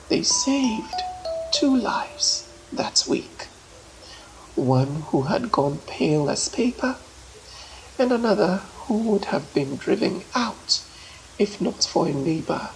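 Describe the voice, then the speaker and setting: A woman narrating a folktale dramatically, with measured, emphatic phrasing and deliberate pauses. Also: vocal fry, low-quality recording. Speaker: storyteller. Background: ambient marimba, xylophone and low nature sounds.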